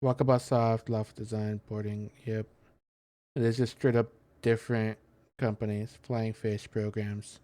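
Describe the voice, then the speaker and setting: A man's voice: on the low side, flat delivery, a little slow, mellow, no echo. A man streaming a game with casual, rambling commentary, thinking aloud as he searches for words and reads things out. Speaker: video game streamer and host.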